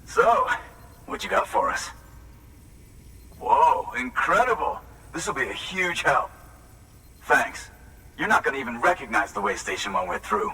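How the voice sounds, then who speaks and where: Animated, bright-voiced eager outpost guy. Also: mid-range voice, a little slow, pauses often, distant mic, slight background noise, poor audio. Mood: stoked. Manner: excitable, casual American slang. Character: upbeat eager male outpost worker, friendly, starstruck. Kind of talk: video game cutscene acting.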